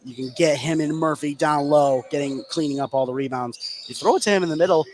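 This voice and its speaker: A man doing live radio play-by-play commentary of a basketball game, excitable on big plays. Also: a little high, fairly quick. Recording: clearly noisy live sports broadcast.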